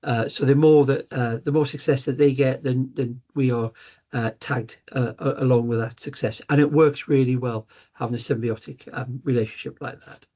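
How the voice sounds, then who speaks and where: A man's voice: medium pitch, steady tone, normal speed, mellow. A middle-aged man with a Newcastle accent hosts a live business webinar as sales director. He talks in a loose, conversational way, with many ums and uhs. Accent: Geordie (Newcastle). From Newcastle, England.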